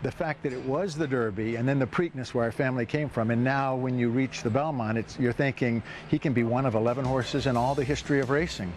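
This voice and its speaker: A man's voice: mid-range voice, fast, few pauses, a little mellow, a little hiss or hum. A man speaking as an interviewee, recalling memories reflectively and sometimes searching for his words.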